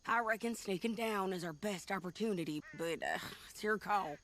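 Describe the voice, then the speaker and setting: A woman's voice: medium pitch, normal speed, a bit bright, slight background noise, lightly acted. A woman playing a tough, wry, plainspoken bounty hunter with an American accent in a western video game. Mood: wry, confiding. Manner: plainspoken, commanding, folksy.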